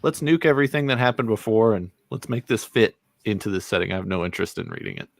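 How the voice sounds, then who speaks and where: A man's voice: lowish voice, big pitch swings, quick pace, creaky, no room sound. A man chatting casually in a book discussion.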